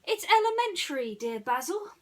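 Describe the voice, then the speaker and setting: A woman's voice: very high voice, big pitch swings, moderate pace, voice pushed up. A woman voicing a courteous, level-headed male mouse, a detective's helper in a bedtime story, speaking politely and with assurance. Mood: pleased, assured.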